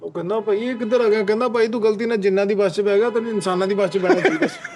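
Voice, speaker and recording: A man's voice: high voice, very animated, rapid-fire, over a noisy crowd. A man with a Pakistani Punjabi accent telling a comic anecdote.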